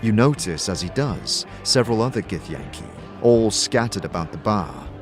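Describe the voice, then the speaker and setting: A man narrating a scripted second-person fantasy story as game master, in a measured, dramatic storytelling manner. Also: on the low side, lively intonation. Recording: soft music behind the voice.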